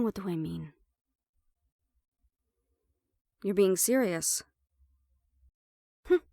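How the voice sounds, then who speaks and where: A woman's voice: medium pitch, darkish tone, no room sound, clean studio sound, lightly acted. A woman playing a sly, defiant half-banshee siren in an audio roleplay, sarcastic and playful as she mocks the listener. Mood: skeptical, teasing.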